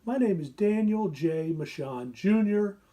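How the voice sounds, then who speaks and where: Fifties guy, soft mellow tone, asks himself questions then answers em. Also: high-pitched, animated. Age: 50s. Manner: deliberate, poses rhetorical questions. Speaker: interviewee. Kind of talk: interview answers.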